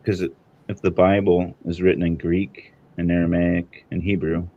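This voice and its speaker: Deep flat man on muffled audio. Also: moderate pace. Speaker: panelist. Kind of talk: casual panel discussion. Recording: dull lo-fi call audio.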